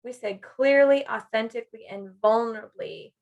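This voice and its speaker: High-pitched woman chatting on a podcast. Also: normal speed, gravelly, a little mellow, muffled recording. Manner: chatty, giggly, acts out imagined dialogue. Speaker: podcast co-host. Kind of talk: casual podcast chat.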